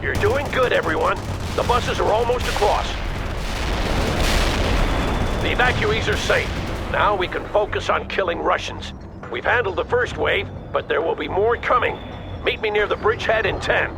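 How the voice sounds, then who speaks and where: An actor playing a steady, authoritative American army colonel, clipped and composed, giving orders in a video game. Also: male voice, high-pitched, moderate pace, creaky. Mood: satisfied. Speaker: army colonel, battalion commander. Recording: noisy battlefield behind.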